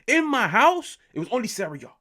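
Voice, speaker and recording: A British man in his late twenties, hosting an excited football reaction, swearing often and swinging between loud outbursts and hushed asides. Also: extremely high pitch, a little fast. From United Kingdom. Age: 20s. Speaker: football channel host.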